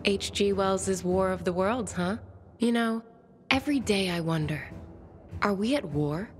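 An actress playing a bookish, flirtatious woman survivor in a video game, speaking in articulate, measured phrases. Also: medium pitch, faint music behind, close to an everyday voice. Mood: thoughtful, curious. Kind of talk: video game cutscene acting.

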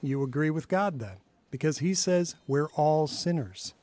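An actor playing a young pastor in a radio drama, gentle, earnest and instructive as he explains faith. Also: fairly high pitch, expressive, a little slow, dry recording. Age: young adult.

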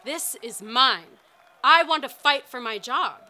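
A woman's voice: high voice, slow, sharp tone, heavily acted. An actress playing a fervent woman strike organizer, speaking emphatically and earnestly in a dramatized oral history.

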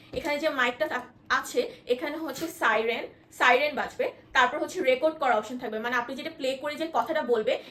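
A woman with a Bangladeshi accent presenting an informal product demonstration, explaining haltingly and mixing in English words. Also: high voice, fairly bright tone. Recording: echoey room with background noise.

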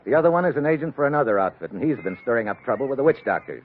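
An actor playing a tough, wary American secret agent in an old-time radio adventure, terse and hard-boiled. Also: male voice, medium pitch, big pitch swings, very fast. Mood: tough, commanding. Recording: muffled vintage radio recording with music.

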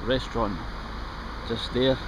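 Casual Scottish male cyclist, middle-aged, rambling outdoor commentary, camera audio with clear traffic noise behind. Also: mid-range voice, fairly even intonation, takes it slowly. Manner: chatty, rambling, pointing things out. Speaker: vlogger. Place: outdoors on canal paths and roadsides. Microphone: action camera held or mounted on the bike.

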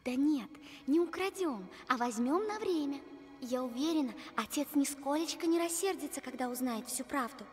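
High, girlish cartoon goose princess. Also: expressive, moderate pace, a little mellow, long reverb tail, soft background music. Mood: reassuring. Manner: girlish, coaxing. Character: plucky, affectionate young princess turned goose. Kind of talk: animated film acting.

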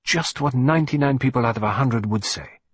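A man's voice: medium pitch, brisk, husky, no room sound, natural voice. A man voicing a fussy, vain middle-aged male detective who speaks in a precise, theatrical, self-assured way. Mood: excited.

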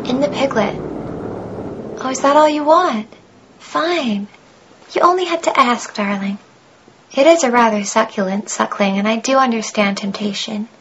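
A woman's voice: fairly high pitch, moderate pace, pauses often, lo-fi sound, slight background noise, natural voice. A woman in a radio play voicing a mocking, imperious, lewd demon that speaks through a possessed girl. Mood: sly, lewd.

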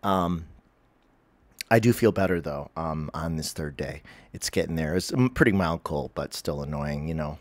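Deep-voiced man with a stuffy cold. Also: lively intonation, normal speed, no echo. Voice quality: nasal, sniffly. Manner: rambling, self-correcting, with many um's. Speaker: spiritual vlogger. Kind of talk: casual talking-to-camera vlog. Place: living room at home.

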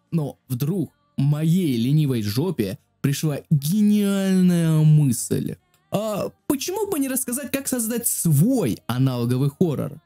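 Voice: medium pitch, warm tone. A video creator narrating a casual joking tutorial in a crude, slangy, self-deprecating way. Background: music.

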